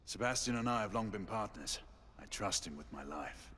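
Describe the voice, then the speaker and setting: An actor playing a loyal, grave veteran knight, terse and calm in a game cutscene. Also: male voice, lowish voice, normal speed. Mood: earnest. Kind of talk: dramatic video game cutscene acting. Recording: clearly noisy background ambience.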